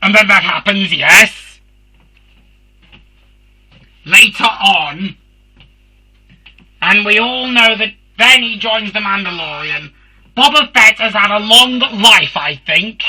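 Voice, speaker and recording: A performer voicing a Dalek, a robotic alien warrior hosting a fan review show, with a harsh filtered voice and halting, emphatic delivery. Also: medium pitch, lively intonation, bright, forceful tone, breathy edge, heavily acted, poor audio.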